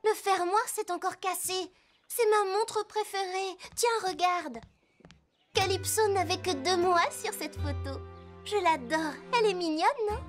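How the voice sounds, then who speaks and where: Little horse rider girl for a cartoon, super high voice, pointed and direct, bit of music under it. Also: a little slow. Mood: fond, chatty. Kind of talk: cartoon dub acting.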